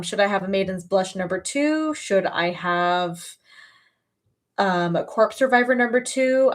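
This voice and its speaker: A woman in her early thirties chatting casually as a livestream host, with an emphatic, gushing way of talking. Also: mid-range voice. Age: early 30s.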